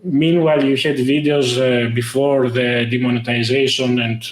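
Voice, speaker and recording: Man, halting, in a roomy echo. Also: mid-range voice, fairly even intonation. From Greece. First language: Greek. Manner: halting, lots of "uh" fillers. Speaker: guest, tech YouTuber. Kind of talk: casual interview talk.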